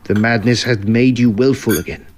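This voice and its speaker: A man's voice: medium pitch, steady tone, normal speed, slight background noise, natural voice. A man playing a falsely soothing, insistent asylum doctor in old-style radio melodrama, with a condescending theatrical manner. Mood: patronising, firm.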